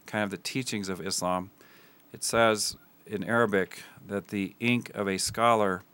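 A man's voice: on the low side, steady tone, measured pace, pushed and bright, smooth. A man who grew up in Tanzania, answering interview questions earnestly with frequent ums and uhs. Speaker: guest, school builder.

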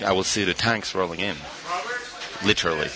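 A middle-aged man from Argentina, a guest artist in a radio interview, speaking thoughtfully with frequent pauses and fillers. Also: low-pitched, pushed and bright. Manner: thoughtful, hesitant with many fillers.

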